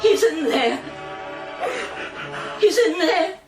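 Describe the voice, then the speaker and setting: Casting: traumatised young woman, piercingly high, slow tearful repetition, echoey radio play. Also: animated, music in the background, exaggerated voice. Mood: hysterical horror. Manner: dazed, repeating herself.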